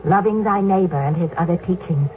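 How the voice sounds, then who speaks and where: A woman's voice: lowish voice, brisk, close to an everyday voice. A woman playing a defiant young Roman woman. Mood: determined. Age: young adult. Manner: firm, determined, persuasive. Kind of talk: 1970s radio drama acting. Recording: tinny lo-fi radio broadcast with loud hiss.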